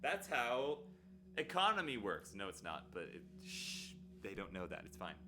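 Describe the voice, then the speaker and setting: A man hosting a live stream, chatting playfully with sudden excited jumps in his voice. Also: on the low side, moderate pace, noisy background. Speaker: livestream host. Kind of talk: casual live stream chat.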